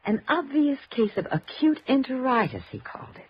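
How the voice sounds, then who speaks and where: Wounded, suspicious daughter, 1950s radio drama. Very animated pitch, halting yet insistent, muffled vintage sound. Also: female voice. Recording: dull, noisy old radio recording.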